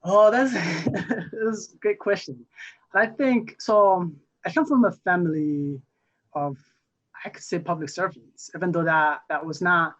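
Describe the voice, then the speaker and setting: A young man from Somalia, a newly elected council member, answering interview questions haltingly with many fillers and restarts. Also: high-pitched, a bit bright. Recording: muffled lo-fi audio.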